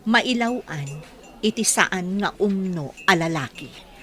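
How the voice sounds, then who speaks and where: A Filipino woman playing an insistent stranger in a radio drama, measured and pointed as she warns. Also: medium pitch, animated, sharp tone. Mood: warning.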